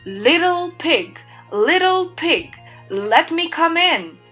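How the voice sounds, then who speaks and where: A woman's voice: extremely high pitch, big pitch swings, slow, soft background music, voice pushed up, heavily acted. A woman voicing a big, bad, greedy male wolf who bellows threats. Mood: demanding. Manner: loud, blustering threats.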